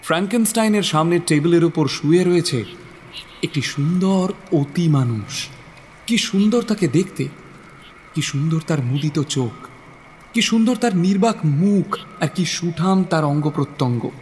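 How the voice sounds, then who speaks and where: A man's voice: fairly high pitch, animated, normal speed, a little mellow, a little hiss or hum. A man narrating a horror story in a theatrical, suspenseful style.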